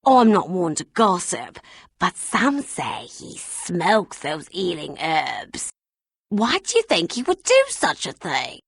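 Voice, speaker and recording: A gossipy fantasy villager with a lowish, husky voice. She talks slowly and confidingly, letting insinuation lilt through every phrase. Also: huge pitch swings, no echo, exaggerated voice.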